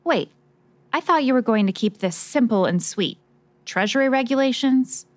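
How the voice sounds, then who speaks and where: A woman's voice: high-pitched, expressive, moderate pace, no room sound. A woman playing a plucky, questioning investigative reporter in an old-time radio drama. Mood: pert, questioning. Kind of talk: 1940s radio drama acting.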